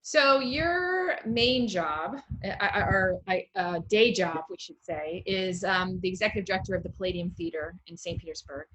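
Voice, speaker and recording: A woman's voice: medium pitch, animated, moderate pace, hardly any pauses, a bit bright, low-quality recording. A woman in her forties hosting a casual, conversational interview with a writer. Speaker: host, interviewer.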